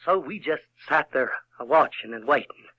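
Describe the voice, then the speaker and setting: A man's voice: medium pitch, animated, normal speed, close to an everyday voice. A man playing a folksy rural Southern boy who tells his adventures in an audio drama. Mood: reflective, folksy. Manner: folksy, colloquial. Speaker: narrator in character. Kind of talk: in-character storytelling, audio theater. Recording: dull 1970s recording with music.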